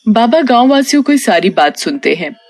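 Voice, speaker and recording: A story narrator delivers scripted lines with lively intonation. The voice sits at a middle pitch over faint background music. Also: normal speed.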